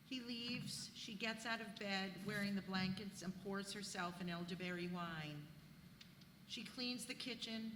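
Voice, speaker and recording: A woman's voice: mid-range voice, measured pace, a bit bright. A woman reading stage directions as scripted narration at a live play reading. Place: live reading in a church venue. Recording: echoey hall, clear background noise.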